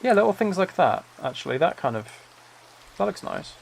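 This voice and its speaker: Male streamer, creaky mid-pitched voice, soft-spoken relaxed gaming chat, faint rain-like noise behind. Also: moderate pace. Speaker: livestreamer. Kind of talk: relaxed chat while playing a building game.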